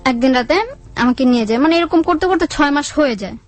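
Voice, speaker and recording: A woman with a Bangladeshi accent tells a personal story in a radio interview, haltingly, repeating words and quoting what people said. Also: high voice. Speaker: guest telling own life story. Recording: music under the voice. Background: background music.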